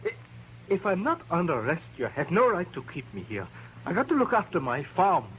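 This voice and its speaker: An actor playing an anxious frontier farmer, protesting haltingly in a radio Western on a noisy old recording. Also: male voice, high voice, wildly swooping pitch, a bit bright, full character voice. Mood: pleading. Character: anxious, pleading immigrant frontier farmer accused of murder. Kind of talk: Western radio drama acting.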